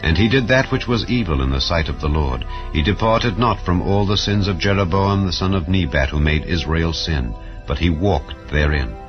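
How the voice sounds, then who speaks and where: A man giving a measured, solemn scripture reading over soft background music. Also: deep voice, highs cut off. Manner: measured, solemn reading with rising and falling phrases. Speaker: Bible reader.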